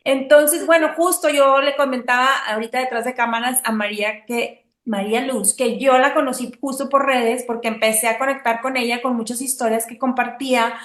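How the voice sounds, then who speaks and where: High-voiced woman podcast host, echoey. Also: fairly even intonation, brisk, hardly any pauses. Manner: effusive, warm praise of guest. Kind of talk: casual interview. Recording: roomy echo.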